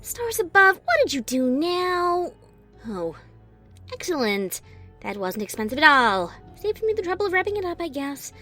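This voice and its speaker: A man's voice: very high-pitched, sing-song, normal speed, sharp tone, faint music behind, pitched above the performer's own voice, full character voice. A man voicing an exasperated, sarcastic pony mare sorceress who scolds with biting sarcasm. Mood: sarcastic, annoyed.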